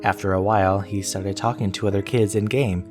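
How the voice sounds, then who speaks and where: A man with a low voice reads a first-person horror story. His delivery is deliberate and measured, like a storyteller. Plucked guitar music plays clearly behind him. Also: fairly even intonation. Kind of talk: scripted first-person narration.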